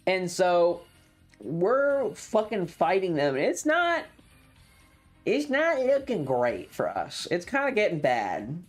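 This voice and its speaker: Androgynous mid-pitched voice, lively swooping intonation, casual streamer storytelling, sweary, music behind. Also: takes it slowly. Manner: animated, frequent swearing. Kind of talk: casual storytelling about a tabletop game. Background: background music.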